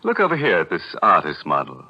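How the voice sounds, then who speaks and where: An actor playing a mock-sinister, tongue-in-cheek horror host on a 1940s radio show. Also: male voice, low-pitched, wildly swooping pitch, brisk, exaggerated voice. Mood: gleefully macabre. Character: ghoulish, gleefully punning male horror host. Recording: muffled old radio recording, music behind.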